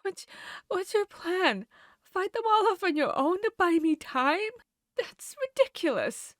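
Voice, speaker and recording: A woman's voice: extremely high pitch, sing-song, a little slow, dry recording, studio quality, heavily acted. A woman playing a protective, caring vampire hunter in an intimate fantasy audio roleplay, speaking directly to the listener. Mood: desperate, distraught. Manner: intimate, addressing the listener directly, shifting between hushed and urgent.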